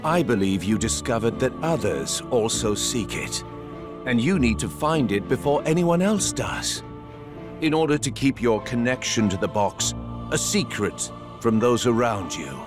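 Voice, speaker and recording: An actor playing a calm, calculating middle-aged schemer in a video game, speaking in a measured, probing way. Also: male voice, medium pitch, music in the background, lightly acted. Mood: coolly knowing. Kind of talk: cinematic video game voice acting.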